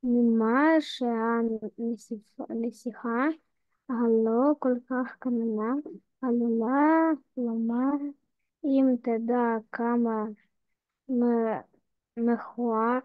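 A fairly high-pitched woman student reads aloud hesitantly over a video call. The audio is dry and dull, with no room sound and a narrow, lo-fi tone. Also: steady tone, moderate pace, long pauses, no room sound. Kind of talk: reading aloud in a lesson. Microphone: computer mic on a video call.

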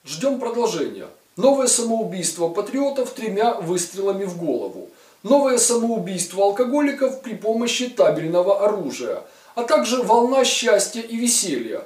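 A man's voice: high-pitched, a little mellow. A man in his forties giving sarcastic political commentary to camera, in a mocking, ironic, emphatic way. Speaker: video blogger commentator. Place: studio with a virtual set. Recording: roomy, distant sound.

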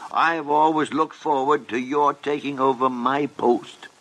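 An actor playing a gruff, crafty old senior doctor in a 1950s radio drama, halting and repeating himself emphatically. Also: male voice, medium pitch, steady tone, a bit bright, lightly acted, poor audio. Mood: fond, coaxing. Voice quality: gravelly.

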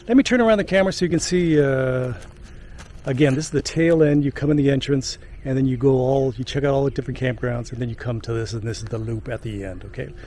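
A man's voice: medium pitch, gravelly, dark tone. Older man, outdoor vlog host, joking and rambling, camera at arm's length, faint outdoor noise behind. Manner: jokey, rambling, with filler words. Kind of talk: casual chat to camera. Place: outdoors on a wooded lakeside trail and park. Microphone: handheld camera at arm's length.

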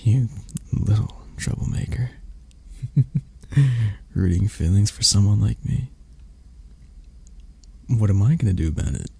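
A man playing an arrogant, prickly CEO boss who turns vulnerable and flirty, in an intimate, halting ASMR roleplay that often drops toward a whisper. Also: on the low side, vocal fry, mellow, breathy edge, exaggerated voice. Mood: teasing, flirty.

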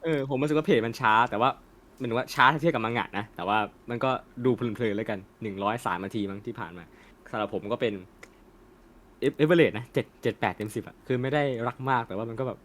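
Young male co-host for casual anime chat, rapid delivery, mid-range pitch, slangy and opinionated with some swearing. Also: expressive, a bit bright. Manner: colloquial, peppered with swearing and slang. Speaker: co-host of an anime discussion channel.

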